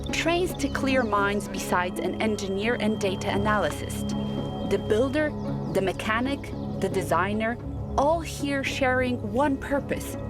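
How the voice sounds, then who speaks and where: A woman reading scripted documentary narration. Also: medium pitch.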